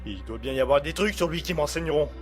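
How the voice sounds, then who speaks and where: A man's voice: fairly high pitch, animated, fast, music in the background, lightly acted. A man playing a panicked, defensive killer in an audio drama, agitated and pleading as he protests. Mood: anxious. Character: panicked, defensive man who has just killed someone. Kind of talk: amateur audio drama acting.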